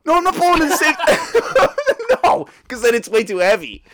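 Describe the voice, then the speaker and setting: A man's voice: very high voice, very animated, moderate pace, a bit bright, no echo. A man co-hosting a podcast, rambling and crude in casual comedic chat, laughing a lot. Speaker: podcast co-host.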